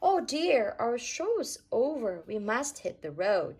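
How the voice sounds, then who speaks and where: A woman reading a rhyming children's picture book aloud in an expressive, sing-song storytelling way. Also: fairly high pitch, slow pace, breathy edge. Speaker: storytime reader.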